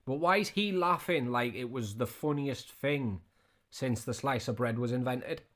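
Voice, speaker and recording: A man's voice: medium pitch, moderate pace, fairly bright tone. A man in his thirties giving casual, scornful reaction commentary, often repeating his questions. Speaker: reaction video host.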